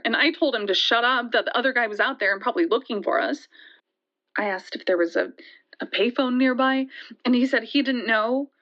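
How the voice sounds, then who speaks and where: A woman playing a shaken young woman who recounts a frightening night in an audio drama, halting and conversational, with hesitations and restarts. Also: fairly high pitch, a bit bright, natural voice. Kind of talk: first-person dramatic storytelling in an audio drama. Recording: dull, lo-fi sound.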